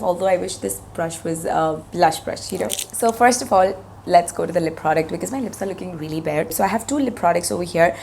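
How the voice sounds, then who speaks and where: A woman in her thirties with a hoarse voice, chatting enthusiastically as she reviews makeup products on her channel. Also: medium pitch, darkish tone, a little hiss or hum. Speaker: beauty vlogger.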